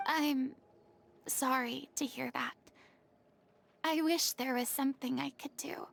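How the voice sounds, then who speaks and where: A woman voicing a flamboyant, dramatic young woman in a game cutscene, speaking in a theatrical, excitable way. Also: high-pitched, gravelly, full character voice. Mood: somber. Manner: theatrical, excitable, drawn-out delivery. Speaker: game character. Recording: game cutscene audio with music.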